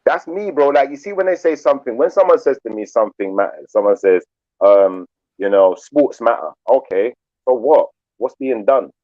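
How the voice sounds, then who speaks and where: A man's voice: medium pitch, animated, moderate pace, dark tone, dry recording, lo-fi sound. A man from England, talking loosely in a casual group chat and filling his speech with "like" and "you know". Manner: laid-back, rambling, says "like" and "you know" a lot. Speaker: panel guest.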